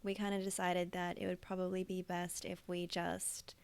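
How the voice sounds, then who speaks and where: An Australian woman in her thirties chats casually as a podcast co-host, with a lot of fillers and easy laughter. Her voice is smooth and resonant, but there is a gravelly fry at the ends of her phrases. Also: lowish voice, monotone, moderate pace. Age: 30s. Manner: chatty, laughs easily, many fillers. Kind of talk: casual chat.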